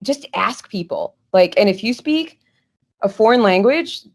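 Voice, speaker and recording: A woman's voice: medium pitch, animated, a little slow. A woman talking as a guest historian on a podcast, earnest and explanatory, with small restarts. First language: English.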